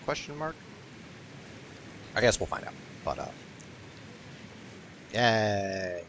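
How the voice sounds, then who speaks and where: Low-voiced man giving wry game commentary. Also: big pitch swings, gravelly, fairly bright tone, slight background noise. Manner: wry, halting, unimpressed. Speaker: gaming video creator. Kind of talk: casual game playthrough commentary.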